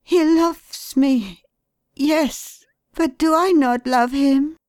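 A woman doing a high, anguished young wife. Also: a little mellow, no echo, clean studio sound. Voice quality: high strained pleading voice. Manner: intense, emphatic, slow. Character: distraught young wife, desperate and grieving.

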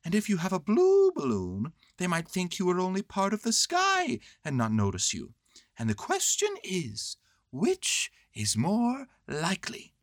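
A woman voicing an earnest, scheming, silly male toy bear from a children's storybook, with excitable, self-important pronouncements. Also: fairly low pitch, measured pace, no room sound, clean studio sound, voice pushed up. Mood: earnest pondering.

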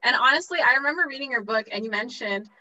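A woman's voice: fairly high pitch, lively intonation, fast, bright, forceful tone, highs cut off, slight background noise. A woman from Chicago asking interview questions in a chatty, rambling way, giggling often.

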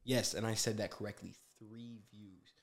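A man's voice: fairly low pitch, steady tone, normal speed. A man in his twenties, a guest on a casual podcast, talking in a laid-back, slangy way and growing emphatic when he makes a point. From USA. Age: 20s.

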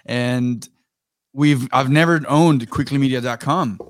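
A young man co-hosting a podcast, telling a story in relaxed, casual chat. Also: mid-range voice, unhurried, no echo, studio quality. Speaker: podcast co-host.

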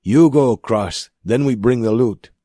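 A man voicing a grumbling, greedy male scrapper in a science fiction audiobook, with an excitable, snarling manner. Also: mid-range voice, unhurried, no room sound, studio quality, pitched above the performer's own voice, lightly acted. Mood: coaxing. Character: wary scrapper with a choked lung rig, grumbling and greedy.

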